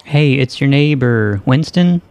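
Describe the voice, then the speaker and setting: A man with an average-pitched voice, recorded dry and close. He talks casually as a prank call host, keeping a straight face and pressing his point insistently. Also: expressive, measured pace, gravelly, darkish tone, no room sound. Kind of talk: deadpan prank phone calls and casual show intro.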